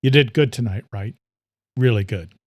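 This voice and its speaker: A man's voice: medium pitch, normal speed, a little mellow, dry recording, studio quality, natural voice. A man voicing a fatherly veteran policeman, hearty, proud and firm, in an audio thriller. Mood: warm, proud.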